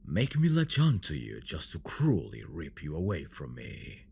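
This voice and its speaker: A very deep-voiced dragon prince, acted by a man, obsessive and menacing, in an intimate fantasy audio roleplay. He broods slowly and possessively, with a gravelly rasp and wide swings in intonation. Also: wildly swooping pitch, a little mellow, slight background noise, full character voice. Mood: bitter, wounded. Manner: slow, brooding, menacing endearments, dropping into whispers. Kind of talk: ASMR roleplay, dramatic monologue.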